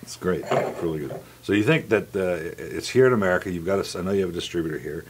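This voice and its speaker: A man's voice: sits low, fast talker, darkish tone, husky. A man in his fifties hosting a wine tasting interview in a studio set like a wine shop, chatty and addressing viewers as folks. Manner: chatty, talks to viewers as folks, trails off and restarts. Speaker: wine club owner and host.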